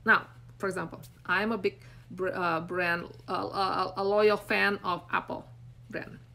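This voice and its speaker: A woman's voice: medium pitch, little pitch movement, unhurried, creaky, pushed and bright. A woman in her forties from Indonesia with an Indonesian accent, speaking on a live stream from her phone in a small room, rambling and earnest. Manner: rambling, filler-heavy, earnest and encouraging. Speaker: brand coach hosting a live stream. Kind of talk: unscripted live-stream talk to viewers. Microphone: phone camera at arm's length.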